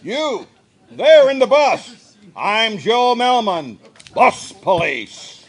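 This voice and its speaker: A man's voice: high-pitched, very animated, extremely slow, full character voice. A man playing an earnest, pompously heroic bus police officer in a live comic radio play, stumbling a little as he reads. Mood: commanding. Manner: stumbling over lines while reading.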